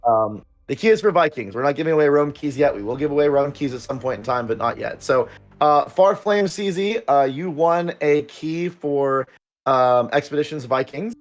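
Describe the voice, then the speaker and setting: Upbeat rambling man hosting a stream. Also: a little high. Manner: rambling, filler-heavy, upbeat. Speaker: producer and stream host. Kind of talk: casual livestream chat.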